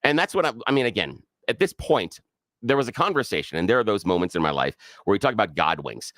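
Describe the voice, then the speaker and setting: A man co-hosting a talk radio show, telling a story in an animated way and acting out the other people's voices. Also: on the low side, fast talker, no room sound. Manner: animated, acts out the quoted voices. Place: radio studio. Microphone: studio microphone.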